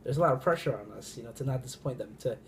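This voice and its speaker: A young man answering interview questions earnestly, with halting restarts. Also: mid-range voice, expressive, slight background noise.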